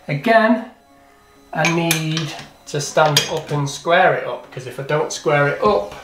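A man's voice: a little high, fairly bright tone. A middle-aged man, a plumbing instructor, talks through a hands-on demonstration step by step in a plain, practical, self-deprecating way. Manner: plain, practical, self-deprecating, thinks aloud while working. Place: small training workshop, indoors. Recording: echoey workshop with faint clatter.

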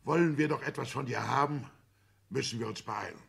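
A self-righteous middle-aged father in an old radio comedy. His voice is very rough and husky, with gravel in it. He blusters and grumbles like an indignant petty official. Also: medium pitch, a little fast, a bit bright, full character voice. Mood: indignant, emphatic. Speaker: actor in a radio play. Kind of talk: 1960s German radio comedy drama acting.

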